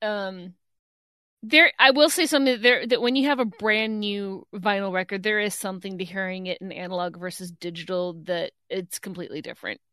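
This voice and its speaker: Woman co-host with a young-sounding voice, bright and sharp, blunt and sweary, dry mic. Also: medium pitch, fairly quick, clear, resonant tone, dry recording. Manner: blunt, animated, swears freely. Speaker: podcast co-host. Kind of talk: casual chat.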